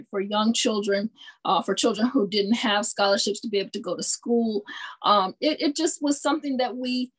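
Husky-voiced woman telling a story on a video call. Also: medium pitch, low-quality recording. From American South. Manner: warm storytelling with many fillers. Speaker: panelist. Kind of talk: panel discussion on video call. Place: home room with bookshelves. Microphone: video call webcam.

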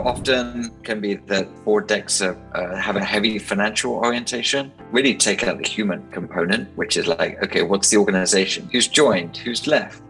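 Low, bright-voiced man giving advice over music. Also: creaky, low-quality recording. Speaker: startup investor and board member. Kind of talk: informal advice to camera. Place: small attic room indoors. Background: background music.

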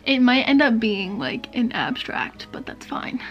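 A woman's voice: a little high, measured pace, lo-fi sound, over music. Chatty young woman, indecisive, sometimes whispering. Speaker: beauty vlogger. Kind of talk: casual chat. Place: small bedroom, indoors.

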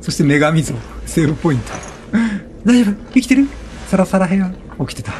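A man's voice: high-pitched, expressive, brisk, darkish tone, a little hiss or hum. A man doing chatty, reactive let's-play commentary over a video game, repeating short exclamations. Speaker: gaming streamer. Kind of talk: excitable let's-play commentary, reading game text aloud. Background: video game music.

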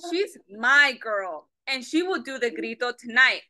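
A young woman reading a picture book aloud to children on a video call, with a lively questioning manner. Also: on the high side, unhurried. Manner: animated, asks children questions, switches between English and Spanish. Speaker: storytime reader. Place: small office with a Mexico flag poster. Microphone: video call webcam.

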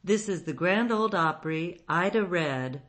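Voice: mid-range voice, expressive, measured pace, fairly bright tone. Radio host reading a scripted introduction. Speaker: host of an old-time radio program.

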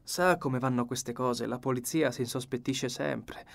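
A man's voice: medium pitch, steady tone, measured pace, gravelly. A man playing a cheerful, frank young male secretary in an audiobook, with a breezy, open manner. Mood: confessing. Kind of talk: acted audiobook dialogue.

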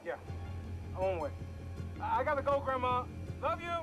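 An actor playing a defensive young street gangster, a grandson, in a video game, pleading in slangy speech. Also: male voice, very high voice, sing-song, normal speed. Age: young adult. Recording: music behind the voice.

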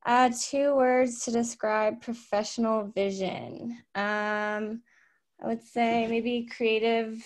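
Woman student from California, chatty, brightish high voice. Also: steady tone. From California, USA. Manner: quick, chatty, with fillers.

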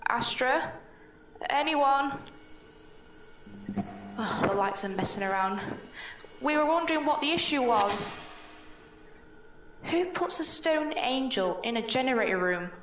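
A woman's voice: sits high, lively intonation, unhurried, long pauses, fairly bright tone, echoey room, low-quality recording. Woman acting cheeky young space station woman, music behind. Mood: uneasy, searching. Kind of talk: audio drama acting. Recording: music under dialogue.

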